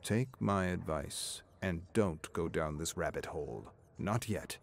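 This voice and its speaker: A middle-aged man in an audiobook story, acted out by a male reader, low in pitch and guarded. He speaks gravely, cautioning his listeners with restraint. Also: animated, measured pace, a little hiss or hum, full character voice. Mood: grave warning. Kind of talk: audiobook character acting.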